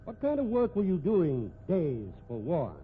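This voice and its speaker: A man's voice: fairly high pitch, wildly swooping pitch, moderate pace. An actor playing a stern, suspicious boss confronting his employee in a 1950s radio mystery. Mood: accusing. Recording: tinny, noisy old radio recording.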